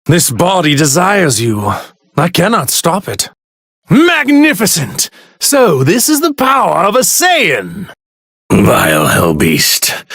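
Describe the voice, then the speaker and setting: An actor playing an arrogant god in a stolen body, pompous and sneering in formal, grandiloquent speech for an anime fighting game. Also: male voice, medium pitch, a bit bright, breathy edge, no room sound, studio quality, heavily acted. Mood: haughty, menacing, then scornful. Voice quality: theatrical, sneering delivery.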